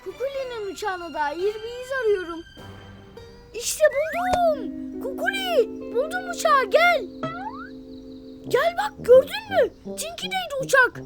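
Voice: extremely high pitch, animated, unhurried. A performer voicing a small, eager, suspicious young animal detective in a children's cartoon, speaking in a dramatic, inquisitive way. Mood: triumphant. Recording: music playing behind the voice. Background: cartoon background music.

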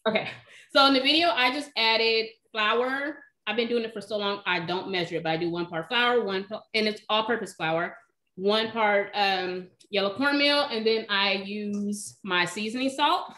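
A woman in her thirties answering cooking questions in a relaxed, chatty way on a video call from her home kitchen. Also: medium pitch, moderate pace, low-quality recording. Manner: relaxed, chatty, explains by eye. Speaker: cooking demonstrator, recipe blogger. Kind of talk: casual live Q&A. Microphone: video call, webcam.

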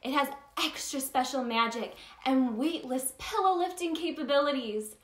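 A woman playing a cheerful Tooth Fairy who hosts children's story time, talking straight to young listeners in an animated, sing-song way in a roomy, echoing space. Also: high voice, normal speed, close to an everyday voice. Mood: bubbly, chatty.

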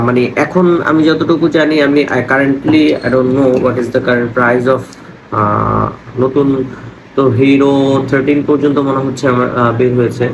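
A man with a Bangladeshi accent talks casually to camera in an explainer style, mixing in English phrases. He has a cold and a mid-pitched voice. The recording carries clear room noise behind him. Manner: mixes in English words and phrases. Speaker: motovlogger presenting gear costs. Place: small room indoors at a table. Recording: clearly noisy room ambience.

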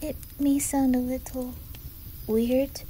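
A woman voicing a gentle, timid young boy with an imitated Swedish accent, speaking hushed and hesitantly in an ASMR roleplay. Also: high voice, flat delivery, a little hiss or hum. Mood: shy, tender. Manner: hushed, hesitant, halting, often whispery.

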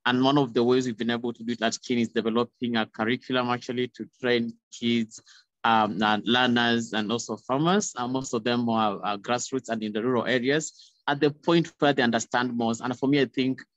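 A young man with a Kenyan accent giving a measured, informal panel answer over a video call. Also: mid-range voice, fairly even intonation, dry recording. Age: young adult. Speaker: panelist, youth conservation leader. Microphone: video call, close device. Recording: muffled, dull video call audio.

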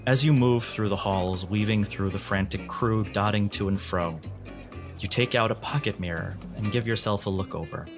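A man working as a game master, giving atmospheric narration for a tabletop game over soft guitar music. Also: on the low side, normal speed.

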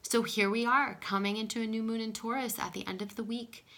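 Female card reader, bright voice roughened by pollen, gentle and reassuring, recorded at a table in a small home room. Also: a little high, fairly even intonation, brisk. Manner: soft-spoken, drifting into whispers, frequent "okay?". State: scratchy voice from pollen. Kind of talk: casual oracle card reading.

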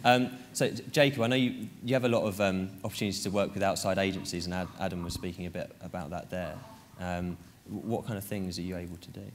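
Male panel moderator, quick and friendly with joking asides, very low voice. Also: steady tone, slight background noise.

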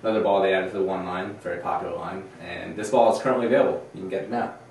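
A man's voice: sits low, steady tone, rapid-fire, darkish tone, slight background noise. A man in his thirties co-hosting a bowling gear show, steadily running through new product releases and agreeing with his co-hosts, recorded from a far camera. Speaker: co-host. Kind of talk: casual product rundown. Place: studio room with a bowling-lane backdrop. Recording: echoey room from a distant mic.

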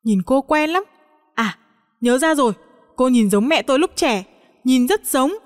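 Woman reading as worried family father, raised pitch. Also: animated, unhurried. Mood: puzzled. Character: worried middle-aged son, father of the household. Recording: long hall-like reverb on the voice.

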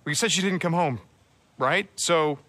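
Gruff, bright-edged drifter. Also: male voice, fairly high pitch, moderate pace, no room sound. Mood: earnest, awkward. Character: gruff rugged male drifter, protective and plainspoken. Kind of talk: naturalistic video game acting.

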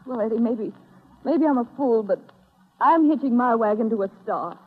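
A woman's voice: on the high side, moderate pace, husky. An actress playing an earnest, pleading, stage-struck young woman usher in a 1930s radio drama. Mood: torn, pleading. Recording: tinny 1930s radio recording.

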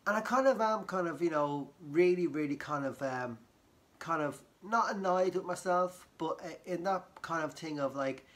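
Croaky man chatting. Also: a little high, fairly bright tone. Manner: rambling, many fillers and restarts. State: croaky from a cold. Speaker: vlogger. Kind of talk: casual chat to camera. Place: living room, on a sofa.

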